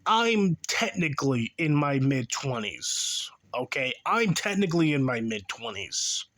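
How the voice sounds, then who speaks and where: A man's voice: medium pitch, big pitch swings, a little slow, a bit bright. A man in his twenties hosting a reaction video, giving sarcastic, exasperated commentary that mocks the person in the clip. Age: 20s. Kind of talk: mocking commentary over a clip.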